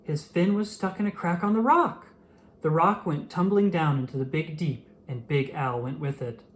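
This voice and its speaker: A storyteller reading a children's picture book aloud in an expressive, animated way. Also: medium pitch, normal speed. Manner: animated, acts out the dialogue.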